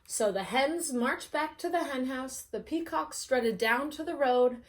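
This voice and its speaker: A woman storyteller reading aloud to children in an expressive, dramatic way, as at bedtime story time. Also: sits high, unhurried.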